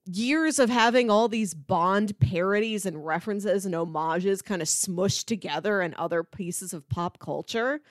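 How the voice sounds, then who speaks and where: A woman's voice: medium pitch, no echo, clean studio sound. A woman co-hosting a movie podcast, talking casually in a conversational, wry and joking way.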